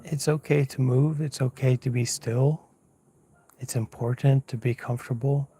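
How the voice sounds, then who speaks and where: A man teaching as a monk leads an unscripted guided meditation in a hushed, soft, slightly husky voice, unhurried and pausing often as he gently gives instructions. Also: mid-range voice, steady tone. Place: outdoors at night, lying down under a mosquito net.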